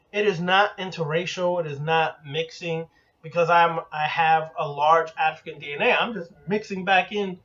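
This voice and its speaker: Brief: high-voiced man, preachy and profane, muffled lo-fi recording. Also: a bit bright, recorded from a distance. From Puerto Rican heritage. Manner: blunt, profane, preachy, rhetorical questions. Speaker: occult teacher. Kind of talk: profane lecture.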